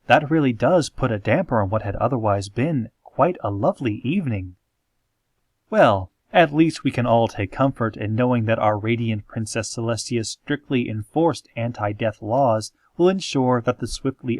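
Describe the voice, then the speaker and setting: A man playing a calm, formal small-town radio host, narrating a parody horror-comedy show in measured, deadpan phrases with drawn-out dramatic moments. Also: medium pitch, dry recording, clean studio sound. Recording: music playing behind the voice. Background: soft guitar music.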